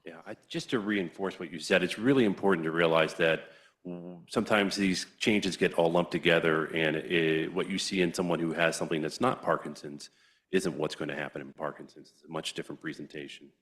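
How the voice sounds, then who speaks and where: Deep-voiced man co-presenting. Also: a little fast, fairly bright tone. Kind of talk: support group presentation.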